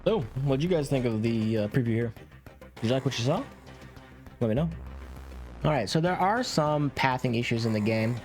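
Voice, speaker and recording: A man's voice: medium pitch, animated, moderate pace, pauses often, darkish tone. A man giving casual, opinionated commentary on a game, talking informally. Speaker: gaming video creator. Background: game music.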